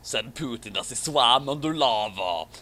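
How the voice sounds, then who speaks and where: A man's voice: medium pitch, lively intonation, a little slow, pushed and bright. A man reading a story aloud with casual, amused commentary. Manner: amused, prone to laughing. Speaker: reader of a fan fiction commentary.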